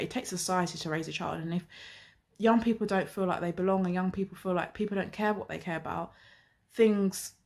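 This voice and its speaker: A young woman speaks sincerely about getting involved. Her delivery is measured, in a slightly low voice. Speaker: youth advisory group member. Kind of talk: reflective talk.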